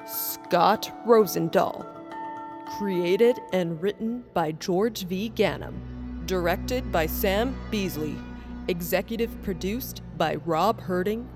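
A woman reading scripted credits in a measured way, setting each name apart. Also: medium pitch. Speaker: credits announcer. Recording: music under the voice. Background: music.

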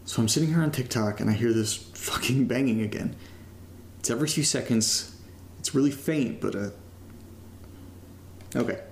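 A young man played by an actor talks into his recorder in a found-footage horror drama. His voice is mid-pitched with plenty of fry, and he rambles informally, sweary and self-deprecating. A faint noise sits behind him. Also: moderate pace, long pauses, darkish tone, lightly acted. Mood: irritated, self-mocking. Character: casual, upbeat young man documenting a creepy new house.

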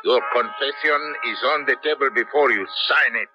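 An actor playing a menacing, coldly relentless secret police interrogator in a 1970s radio drama, commanding, accusatory and formal. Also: male voice, medium pitch, moderate pace, music in the background, lightly acted. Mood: cold menace. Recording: dull vintage radio broadcast.

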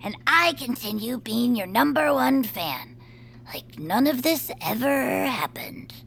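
Brief: a plotting superfan commander, high lively voice with heavy gravel. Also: female voice, slow, slight background noise, exaggerated voice. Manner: eager, persuasive, scheming. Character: eager young female self-styled space commander, scheming superfan. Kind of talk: audio drama acting.

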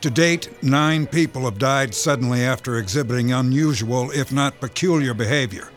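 A man's voice: mid-range voice, normal speed, natural voice. An actor playing a commanding male police captain who firmly briefs a room of officers. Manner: firm, addressing a room of officers. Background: music.

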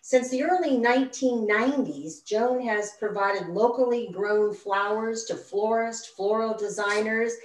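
A woman's voice: on the high side, unhurried, few pauses. Woman host, roomy and far off. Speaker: radio program host. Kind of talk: interview hosting. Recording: roomy and distant from the mic.

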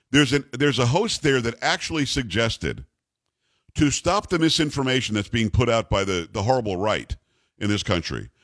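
Emphatic, animated American talk radio man. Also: mid-range voice, dry recording, studio quality. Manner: emphatic, incredulous, sarcastic. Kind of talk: opinionated political talk radio commentary. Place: radio studio.

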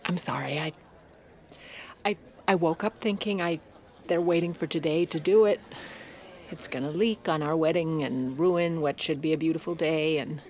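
A woman playing a sharp, resolute president's wife in an audio drama, blunt and wry in both narration and dialogue. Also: sits low, moderate pace, natural voice. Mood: anxious.

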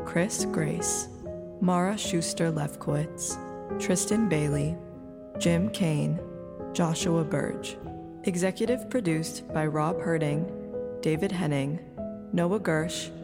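A woman's voice: low voice, gravelly. A woman announcer giving a scripted read of production credits. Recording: long reverb, music behind.

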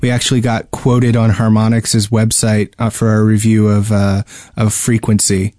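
A man's voice: fairly low pitch, level tone, moderate pace, dark tone, no room sound, clean studio sound. A man co-hosting a gaming podcast in casual chat, talking hesitantly and often repeating words mid-sentence. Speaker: podcast co-host.

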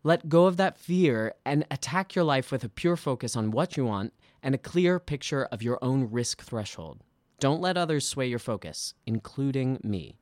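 A man who works as a stage actor reads his own scripted personal essay with polished, deliberate delivery. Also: medium pitch, animated, a little mellow, dry recording, clean studio sound. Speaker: musical theatre actor.

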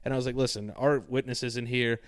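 A man's voice: mid-range voice, steady tone, fairly quick, clear, resonant tone, no room sound. Rambling young man on a dry mic. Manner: rambling, swears freely, acts out other people's lines. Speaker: podcast co-host. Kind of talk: casual chat.

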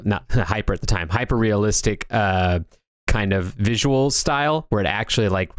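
A man's voice: fairly low pitch, a little mellow, smooth, dry recording. A man co-hosting a video game discussion, talking casually and conversationally, often doubling back on his words. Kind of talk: casual pop-culture discussion.

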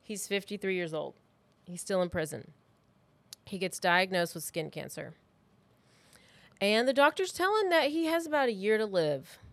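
A woman's voice: medium pitch, animated, moderate pace. A woman co-hosting a true crime podcast, telling the story informally from her notes in a conversational way.

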